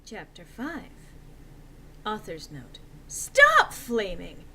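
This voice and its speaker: A woman's voice: medium pitch, wildly swooping pitch, extremely slow, noisy background. A woman reading a story aloud as narrator in a theatrical, mock-serious and emphatic comic style.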